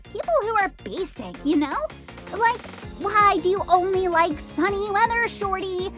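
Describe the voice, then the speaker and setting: Very high-pitched quirky, perky girl. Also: expressive, measured pace, fairly bright tone, faint music behind, exaggerated voice. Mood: opinionated, indignant. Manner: bubbly, chatty, emphatic. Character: quirky, perky, opinionated schoolgirl obsessed with weather. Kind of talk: audio drama character acting.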